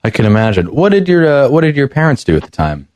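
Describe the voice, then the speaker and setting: A man's voice: medium pitch, very animated, quick pace, darkish tone. A man hosting a podcast, introducing and interviewing a guest. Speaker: podcast host. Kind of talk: scripted intro and interview questions.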